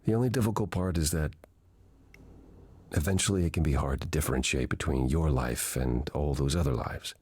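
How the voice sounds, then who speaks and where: An actor playing an ancient, weary god in a video game, speaking as a gentle, patient mentor in a measured, reflective way. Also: male voice, a bass voice, slightly rough. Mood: patient, reassuring.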